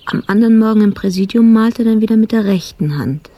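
A woman's voice: fairly high pitch, fast, dark tone, slight background noise, close to an everyday voice. An actress playing a sober, observant female police colleague in a radio drama, speaking in spare, short phrases. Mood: pensive, uneasy. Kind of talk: German radio drama narration and dialogue.

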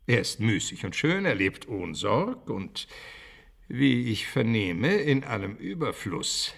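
Gravelly old preachy pastor. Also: male voice, medium pitch, big pitch swings, fairly bright tone, full character voice. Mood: fatherly admonishing. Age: older. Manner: deliberate, preachy, formal archaic phrasing. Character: elderly learned village pastor, fatherly, admonishing, shrewd. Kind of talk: classic literary radio drama acting.

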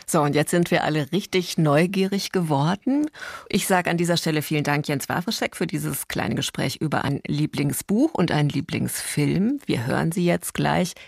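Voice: medium pitch, normal speed, gravelly, no room sound. A radio host presenting and introducing a literary reading in a studio.

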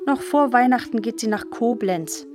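A woman's voice: on the high side, moderate pace, music in the background, lightly acted. A woman narrating a literary radio play as a girl who remembers the war's end, observant and matter-of-fact, in short clipped sentences. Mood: sober, observant.

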